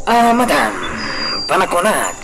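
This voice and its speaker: A man's voice: high-pitched, sing-song, measured pace, music in the background. A man with an Ilocano accent playing an obliging right-hand man in an audio drama, deferential and eager. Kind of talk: Ilocano radio-style drama acting. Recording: noisy track with crickets and insects.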